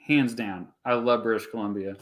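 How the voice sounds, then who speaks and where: A man with an American accent hosting a casual, unscripted interview, rephrasing his thoughts mid-sentence in a halting way. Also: on the low side, fairly even intonation. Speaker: YouTube host in a two-way interview.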